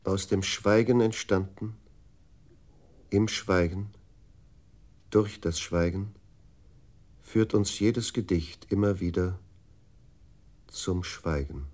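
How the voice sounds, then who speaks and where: Grave verse reciter, man, lowish pitch, very slow solemn delivery, radio drama. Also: darkish tone.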